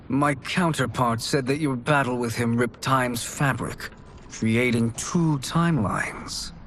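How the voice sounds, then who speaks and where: A slow, cunning sorcerer. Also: male voice, medium pitch, a bit bright, a little hiss or hum. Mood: deliberate. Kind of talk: video game cutscene acting.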